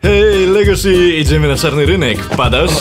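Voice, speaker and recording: A man's voice: on the high side, animated, normal speed, lightly acted. A man playing a cocky, careless young tiger student in a comedic anime parody dub. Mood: casual. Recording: music behind the voice.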